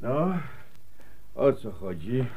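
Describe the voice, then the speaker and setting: Slow, animated, talkative milkman. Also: mid-range voice, husky, full character voice. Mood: busy, obliging. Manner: folksy, chatty, chuckling. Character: talkative, pious, good-natured milkman with many children. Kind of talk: Polish radio drama acting.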